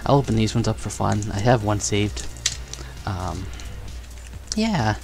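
Upbeat young man with gravelly voice. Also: fairly low pitch, a little slow, over music. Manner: upbeat, chatty, excited exclamations. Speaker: card collecting vlogger. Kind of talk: casual unboxing chat. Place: bedroom studio, indoors. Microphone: headset.

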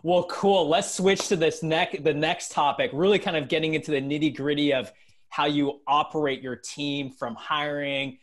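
A man's voice: fairly high pitch, steady tone, moderate pace. A man in his thirties hosting a conversational business interview over a video call on earbuds. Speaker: interviewer and host. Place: small bright room at home, on a video call. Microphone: wired earbuds on a video call.

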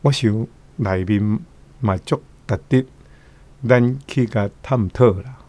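A man's voice: lowish voice, slow pace, mellow. Older Taiwanese man commenting informally. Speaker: commentator, church elder.

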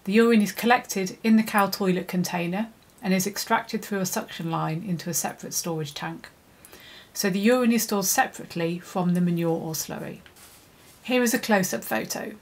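A middle-aged woman, an environmental consultant, giving a measured, scripted educational presentation to camera in a studio. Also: medium pitch. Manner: measured, clear, steady delivery. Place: indoor studio, plain background.

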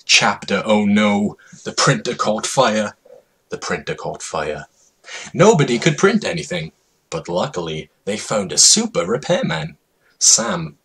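A man giving a mock-dramatic reading of a comedic story in an over-the-top, deliberately absurd way, drawing out words. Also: fairly low pitch, very animated, a bit bright, slightly rough.

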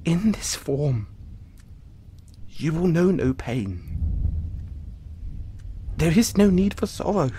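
A man playing an anxious, apologetic dollmaker in an audio roleplay, stammering and halting as he speaks to the listener. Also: on the high side, big pitch swings, warm tone, slight background noise. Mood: anxious, soothing. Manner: stammering, halting, flustered, placating. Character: anxious, apologetic male dollmaker who dabbles in soul magic.

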